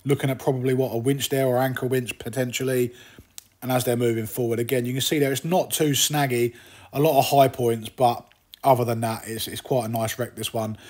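A middle-aged man from England talks over video as a fishing channel host. His delivery is casual and chatty, full of asides and small fillers, with an ordinary pitch for a man. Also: fairly even intonation, a little fast, darkish tone.

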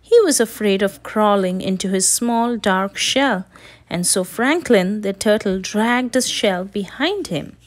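A woman narrating a children's story aloud in an expressive way for young listeners. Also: medium pitch, unhurried.

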